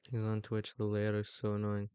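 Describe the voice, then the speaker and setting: A man's voice: lowish voice, monotone, normal speed, mellow, clear, resonant tone, no room sound. A young man chatting casually on a livestream, rambling with lots of fillers and restarts and chuckling often. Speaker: streamer.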